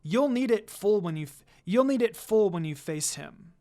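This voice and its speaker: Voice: medium pitch, animated, normal speed, darkish tone, lightly acted. A narrator as a cool, teasing young ninja woman. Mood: coolly evasive. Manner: dry, mocking, understated.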